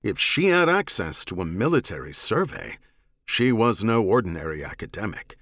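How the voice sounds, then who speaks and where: Low-voiced man narrating a serious audiobook. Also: big pitch swings, a bit bright, dry recording, clean studio sound. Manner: measured, serious. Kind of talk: scripted dramatic narration of a science fiction novel.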